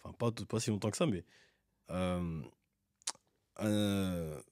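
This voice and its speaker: A man with a fairly low voice talks in a casual panel discussion. He is halting, searching for words, and the sound is close and dry. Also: darkish tone, no room sound.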